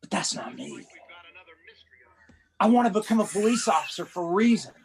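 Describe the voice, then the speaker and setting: A man's voice: high voice, big pitch swings, normal speed, gravelly, slight background noise, full character voice. A man reading a comic aloud as a young male rookie cop, narrating his troubles in a plain conversational first-person way. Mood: wry.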